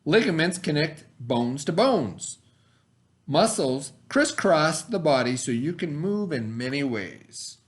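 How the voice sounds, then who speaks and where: Higher-pitched male teacher reading aloud. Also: big pitch swings. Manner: pauses to explain, checks in with "okay?". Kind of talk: read-aloud lesson with casual asides.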